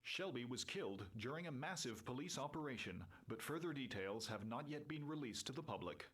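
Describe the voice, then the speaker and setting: Man acting sober TV newsreader, medium pitch, measured, formal, game cutscene report. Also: expressive, fairly bright tone, natural voice. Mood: sober, factual. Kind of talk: scripted news report in a video game.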